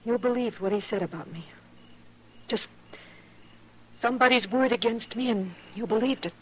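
Hurt, resolute frontier wife. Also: female voice, on the high side, normal speed, slightly rough. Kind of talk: 1950s radio western acting. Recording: dull, noisy old radio recording.

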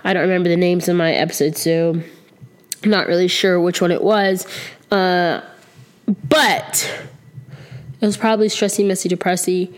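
A woman's voice: on the low side, little pitch movement, normal speed, long pauses. A woman in her twenties hosting her own podcast alone, slightly sick and a little nasal, rambling and candid with lots of ums, sitting close to the mic. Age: 20s. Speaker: podcast host. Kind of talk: casual solo podcast chat. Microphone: close to the microphone.

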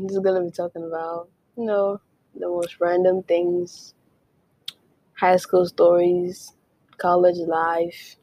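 A young woman from Nigeria talks through her own podcast in an easy, chatty way. She drops in playful whispered asides. Her voice sits a little low and comes out slow and husky. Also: warm tone, dry recording, low-quality recording. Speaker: podcast host. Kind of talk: casual solo podcast chat.

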